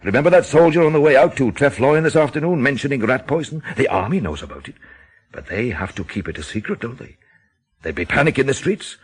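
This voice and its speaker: An actor in a radio drama playing a grave, intense man who speaks in an emphatic, deliberate, theatrical way. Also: mid-range voice, big pitch swings, slightly rough. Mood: urgent. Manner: emphatic, dramatic, deliberate phrasing with rhetorical questions. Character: grave, intense countryside man expounding a dreadful theory.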